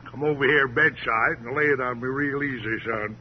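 An actor playing a sly, folksy uncle from out West in an old radio crime drama, drawling and repeating himself with cozy, mock-sweet familiarity. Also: male voice, medium pitch, normal speed, lightly acted. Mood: breezy, cozy. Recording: muffled, hissy old radio broadcast.

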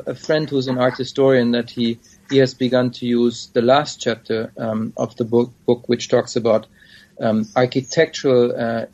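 Hesitant gravelly-voiced male scholar. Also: medium pitch, darkish tone, muffled recording. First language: not Dutch. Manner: hesitant, many fillers and restarts. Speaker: scholar and author. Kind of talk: academic interview answers.

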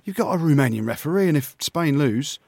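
A man's voice: a little high, expressive, brisk, creaky, darkish tone, slightly rough, no echo, clean studio sound. A man from England co-hosting a podcast, giving blunt, opinionated takes. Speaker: podcast co-host. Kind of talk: casual sports chat.

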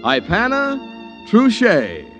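A man announces in polished 1940s radio style over music. His voice is high and swings widely in pitch, with emphatic, scripted salesmanship. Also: huge pitch swings, takes it slowly.

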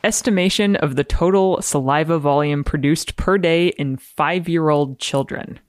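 A woman's voice: deep voice, a little slow. A woman speaking as a scientist guest on a podcast, reading aloud and commenting in a precise, thoughtful way. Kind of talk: reading a research paper aloud and commenting conversationally.

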